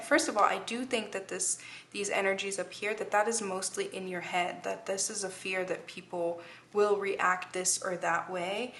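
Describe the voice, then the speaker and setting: A woman's voice: mid-range voice, fairly bright tone, slight background noise. A woman giving a casual tarot reading to her viewers. She rambles and often restarts her phrases. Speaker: tarot reader.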